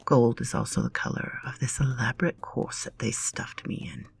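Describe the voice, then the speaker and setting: A woman narrating a story in a dramatic, breathy, often whispered style. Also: rumbling low, sing-song, normal speed.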